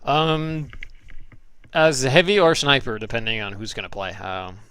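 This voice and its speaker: A man's voice: medium pitch, steady tone, a little slow, a bit bright, clear, resonant tone, dry recording. A young man from Norway giving loose, profane, sarcastic commentary over gameplay replays as the show's host. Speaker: game commentator.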